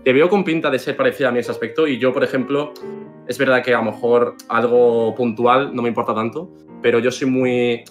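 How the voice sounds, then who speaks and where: Man in his 20s, rapid and self-assured dating pitch, mid-pitched voice, faint music underneath over a video call. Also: fairly even intonation. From Spain. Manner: fast, self-assured, chatty. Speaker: dating contestant. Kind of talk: casual self-introduction.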